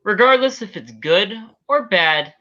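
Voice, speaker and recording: A man's voice: sits high, lively intonation, unhurried, bright, forceful tone. A man chatting casually as a guest on a fan podcast, over a lo-fi connection.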